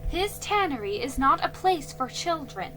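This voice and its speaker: A woman voicing a stern, proper mother who scolds in a slow, measured way. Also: sits high, big pitch swings, bright, forceful tone, soft background music, voice pushed up, heavily acted.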